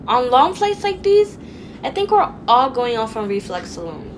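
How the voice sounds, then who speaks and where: An actress playing a chirpy, easygoing flight attendant in an audio drama. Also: female voice, on the high side, very animated, a little slow. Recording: noisy airplane cabin ambience.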